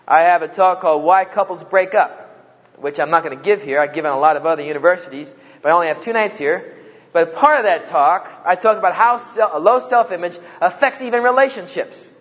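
A man's voice: sits high, a bit bright, big-room sound, highs cut off. A man from New Jersey with a New Jersey accent, giving a humorous talk to students and acting out the voices in his stories. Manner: joking storyteller, acts out quoted voices. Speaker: campus speaker.

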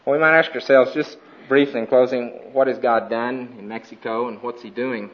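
A man giving an earnest mission report to a conference, speaking steadily with frequent pauses. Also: mid-range voice, steady tone. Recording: dull lo-fi recording.

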